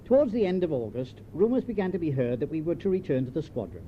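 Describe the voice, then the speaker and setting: An older British man reads his written memoir in a slightly high voice. His storytelling is measured and dryly understated. It sounds like an old home tape, dull in tone with a noticeable rumbling noise behind him. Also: big pitch swings. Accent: British English. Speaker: memoir author reading his own writing. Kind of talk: scripted memoir narration.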